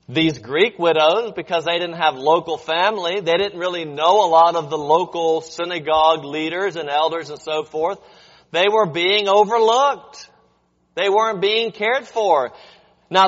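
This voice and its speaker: High-voiced preacher in a reverberant hall. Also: male voice, a bit bright. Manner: explanatory, addresses listeners with rhetorical questions. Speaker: preacher teaching a Bible lesson. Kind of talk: sermon. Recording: dull audio with long hall reverb.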